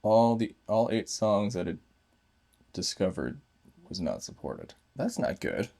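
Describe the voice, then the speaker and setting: A man reviews gadgets casually and without a script. His voice sits on the low side with plenty of gravelly fry. He thinks out loud as he goes, in short, broken phrases. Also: big pitch swings, unhurried. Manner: thinking aloud in short fragments. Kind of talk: casual unscripted hands-on review.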